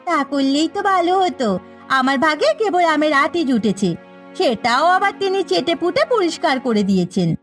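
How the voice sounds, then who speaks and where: Woman acting exasperated daughter-in-law, high voice, lively intonation, complaining, cartoon dub, light music behind. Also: moderate pace. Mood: indignant complaining. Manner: complaining, animated. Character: put-upon, exasperated housewife and daughter-in-law. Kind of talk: Bengali moral-story cartoon dubbing.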